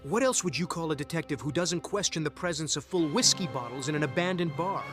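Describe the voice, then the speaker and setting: A man's voice: fairly high pitch, quick pace, natural voice. Guy doing a bitter young vigilante ex-sidekick, taunting n sarcastic, music playing under. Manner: sardonic, taunting. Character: bitter, sardonic young male vigilante, estranged former sidekick. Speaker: voice actor in a fan comic dub. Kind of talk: dramatic superhero comic dub.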